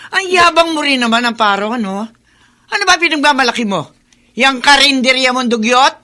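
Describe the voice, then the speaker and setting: An actress playing a haughty, scornful, bossy sister-in-law in a Filipino radio soap, with an imperious, taunting delivery. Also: female voice, high voice, animated, moderate pace, bright, forceful tone.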